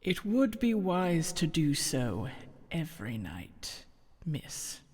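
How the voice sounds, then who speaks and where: An actress playing a stolid, guarded female servant in a gothic audio drama, measured and deferential. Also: low voice, big pitch swings, darkish tone, breathy edge, full character voice. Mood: probing, wary. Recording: long hall reverb.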